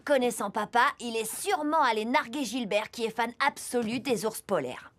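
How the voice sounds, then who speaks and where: A woman's voice: a little high, lively intonation, moderate pace, a little hiss or hum, close to an everyday voice. A woman voicing a self-assured young girl leading an investigation, in a French cartoon dub. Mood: determined. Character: determined young girl detective, bossy.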